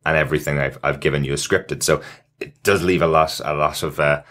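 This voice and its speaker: A man's voice: rumbling low, fairly even intonation, a little fast, fairly bright tone. A man hosting a casual podcast discussion, easygoing and laughing readily as he steers the talk. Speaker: podcast host.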